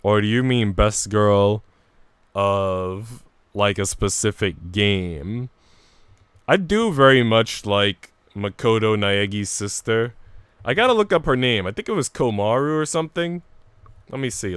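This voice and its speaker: Clear-voiced man chatting on a livestream. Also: lowish voice, lively intonation, a little slow, a bit bright, no echo. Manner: slangy, informal, addresses viewers directly. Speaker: game streamer. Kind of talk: casual livestream chat, reading visual novel dialogue aloud.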